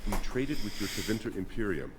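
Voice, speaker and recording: An actor playing a formal, proud dwarven keeper of history in a fantasy video game, speaking in a solemn, measured way. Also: male voice, on the low side, animated, noisy background, natural voice. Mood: solemn, lecturing.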